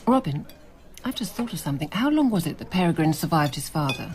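A woman's voice: deep voice, very animated, fast talker, background noise. An actress playing a shrewd, cool, probing female journalist in a radio drama. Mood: insinuating. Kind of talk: British radio drama acting.

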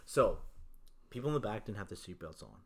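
A man whose first language is Urdu, co-hosting a casual podcast and telling stories in an animated, slangy way. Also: on the low side, fairly quick. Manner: animated, slangy storyteller who says "yo" a lot. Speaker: podcast co-host.

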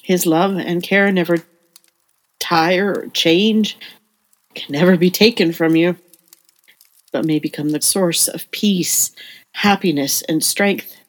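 A woman playing a gentle, devout, wise mother in an audio play, speaking in an earnest and measured way. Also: lowish voice, steady tone. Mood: earnest, soothing. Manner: earnest, measured, tender counsel. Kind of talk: audio play acting of a period novel.

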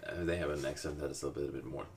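Male host, deep voice, friendly and brief, recorded far off-mic. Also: steady tone, very fast, slight background noise. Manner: gives brief affirmations, asks friendly questions. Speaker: podcast host. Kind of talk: casual interview chat. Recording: voice distant from the mic.

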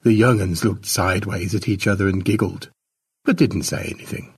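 A man narrating a short story as an expressive audiobook reading. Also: low-pitched, moderate pace, slightly rough, recorded from a distance.

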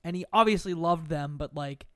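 A man's voice: fairly high pitch, fairly quick, pushed and bright, no room sound. Dry-sounding man chatting casually. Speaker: podcast guest. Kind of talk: casual chat with personal anecdotes.

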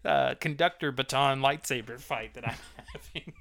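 A young man co-hosting a casual movie recap podcast, wry and quick with asides, sometimes mimicking characters in mocking voices. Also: medium pitch, gravelly, a bit bright, a little hiss or hum. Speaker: podcast co-host.

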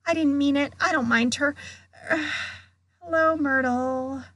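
A woman's voice: high-pitched, fairly even intonation, no room sound, voice pushed up, full character voice. A woman voicing an anxious, know-it-all young schoolgirl who speaks earnestly and nervously in a storybook. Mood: frantic. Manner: earnest, hurried, falsely bright when nervous.

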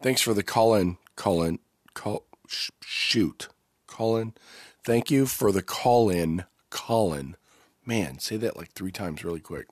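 A man's voice: on the low side, animated, slow pace, no echo, studio quality. A man hosting his own podcast, talking loosely and rambling a bit, stuffy from a cold. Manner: loose, rambling, self-correcting. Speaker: podcast host. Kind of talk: casual podcast talk.

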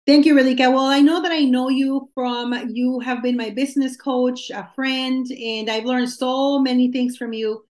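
A woman hosts her own podcast, opening with upbeat introductions before handing over to her guest. Her voice sits a little above average in pitch. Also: steady tone, normal speed, few pauses, darkish tone. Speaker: podcast host. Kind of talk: podcast interview.

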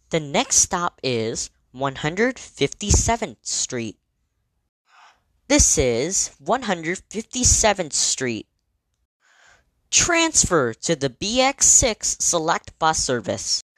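A woman delivering scripted train announcements in a measured, formal, clearly segmented manner. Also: low-pitched, fairly bright tone, dry recording.

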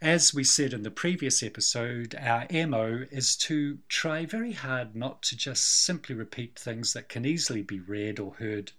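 A man with a New Zealand accent, co-hosting a film podcast in a wry, measured, slightly theatrical way. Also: medium pitch, bright, forceful tone. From Scotland. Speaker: podcast co-host. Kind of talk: casual film discussion.

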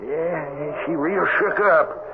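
An actor playing a folksy, superstitious cowhand with a rustic American Western drawl in an old-time radio drama. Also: male voice, on the high side, slow. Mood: troubled. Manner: drawling rustic cowboy talk. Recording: tinny, noisy old radio recording.

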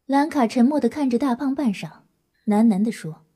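A woman's voice: fairly high pitch, lively intonation, normal speed, warm tone, breathy edge. A woman reading scripted narration for an audio drama.

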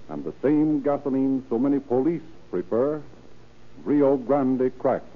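A man's voice: mid-range voice, lively intonation, measured pace. A man narrating and announcing in a formal, theatrical, emphatic 1930s radio style. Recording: tinny, hissy 1930s radio recording.

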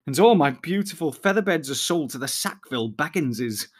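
A man's voice: a little high, animated, moderate pace. A man reading aloud, voicing a wry, reflective male hobbit leader.

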